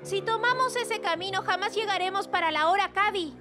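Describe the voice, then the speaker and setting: A woman's voice: extremely high pitch, fairly quick. A woman voicing a sensible, feisty young girl in a children's cartoon, speaking earnestly and emphatically. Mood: determined. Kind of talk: animated children's cartoon dubbing in Spanish. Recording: loud music behind the voice.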